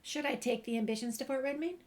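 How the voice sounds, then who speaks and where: A woman's voice: high voice, fast talker. A woman playing a video game and talking casually, reading dialogue choices aloud. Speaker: game player and streamer. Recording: clear background noise, clicks.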